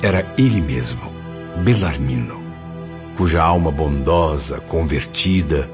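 A very deep voice of a solemn, benevolent spirit in a radio drama. He speaks with reverence over clear music. The recording is dull and lo-fi. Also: expressive, measured pace. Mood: reverent. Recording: muffled lo-fi recording with loud music.

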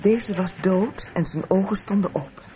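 Troubled female witness for radio play, played by an actress: medium pitch, earnest, evasive, insistent; clearly noisy recording. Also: normal speed, dark tone, natural voice. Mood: guarded, earnest. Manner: earnest, hesitant but insistent. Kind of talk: Dutch radio play acting.

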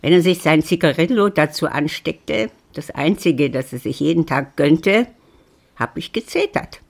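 An elderly widow with a low, creaky voice. She muses to herself in a wry, grumbling way, lonely and set in her ways, as in a literary radio play. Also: lively intonation, unhurried, heavily acted. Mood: resigned, grumbling. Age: older. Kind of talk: German literary radio drama acting.

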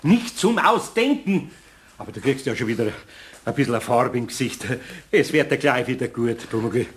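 A man's voice: mid-range voice, expressive, normal speed, close to an everyday voice. A man playing a kindly, flustered older master craftsman in a German radio play, with a Bavarian accent and a folksy, fussing delivery. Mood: soothing. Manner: folksy, fussing, repeating words.

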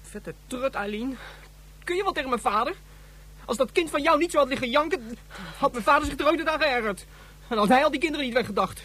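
A performer playing a sulky, blunt young son in a science-fiction radio play, with a husky voice and childish, exaggerated wording. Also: high-pitched, lively intonation, fairly quick, bright, forceful tone, full character voice. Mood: spiteful. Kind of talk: Dutch science-fiction radio drama acting.

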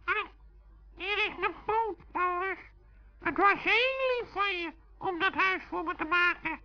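A very high, squeaky little creature, childlike and whiny, as heard in an old children's radio play. The sound is dull and muffled. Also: measured pace, bright, forceful tone, full character voice. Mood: meek, childlike.

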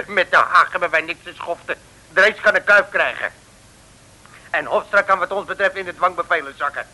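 A hostile, sneering tough, with a piercingly tense, somewhat high voice. He taunts and threatens in slang, in the broad manner of old radio comedy on a vintage recording. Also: sharp tone, heavily acted, low-quality recording. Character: belligerent, sneering local ruffian hostile to outsiders. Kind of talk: 1960s radio comedy serial acting.

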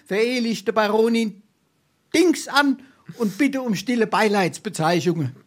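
An actor playing a boozy, penniless charmer in a Darmstadt dialect stage comedy, florid and ingratiating, with some stammering. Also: male voice, sits high, expressive, unhurried. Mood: mock mournful. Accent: Darmstadt Hessian. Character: boozy, penniless, wheedling charmer, a theatrical scrounger.

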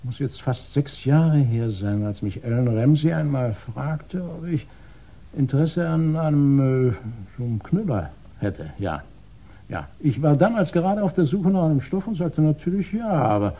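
An actor playing a meek henpecked husband in a 1960s radio crime drama, flustered and agreeable, hesitating and repeating himself. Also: male voice, a little high, sing-song, normal speed. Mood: halting, evasive. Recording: dull vintage 1960s radio recording.

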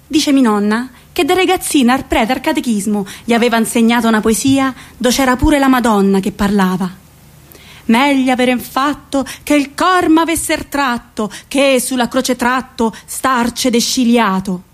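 A woman with a central Italian, Roman-area accent narrating a theatrical monologue in an expressive, colloquial way. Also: sits high, normal speed. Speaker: stage actress.

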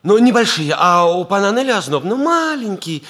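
An actor playing an eager, flustered lieutenant reporting hastily in a 1960s Soviet radio comedy. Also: male voice, high voice, sing-song, moderate pace, fairly bright tone, exaggerated voice.

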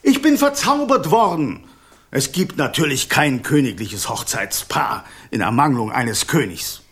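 An actor in a radio play voicing an enchanted golden snake king, courteous, pompous and easily indignant, with a husky, breathy voice. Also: mid-range voice, very animated, measured pace, a bit bright, exaggerated voice. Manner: theatrical, pompous, wheedling.

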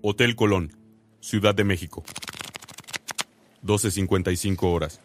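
A man's voice: low voice, fairly even intonation, measured pace. A man announcing places and times as scripted headings in a radio drama. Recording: music under the voice.

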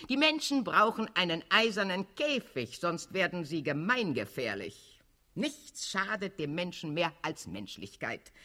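Radio drama role: old cardinal statesman, coldly authoritarian zealot, animated rhetorical sweep, cutting bright edge. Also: a little high, heavily acted. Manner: declamatory, sardonic, chuckling rhetoric. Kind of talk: German-language radio drama acting.

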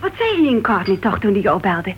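An actress playing a patient, placating wife in a radio play, soothing and apologetic with gentle reproach. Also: female voice, medium pitch, big pitch swings, fairly quick, natural voice. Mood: gently reproachful. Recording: dull, noisy old radio play recording.

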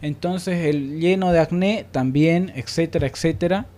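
A man's voice: on the high side, measured pace, darkish tone. A man hosting a panel discussion, speaking reflectively with emphatic repetition as he searches for words. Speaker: radio programme host.

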